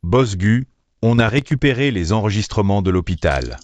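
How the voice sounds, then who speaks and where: A man voicing a loyal young assistant in a dubbed drama, reporting in a brisk, matter-of-fact way. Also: lowish voice, animated, darkish tone, close to an everyday voice. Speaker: assistant to the boss.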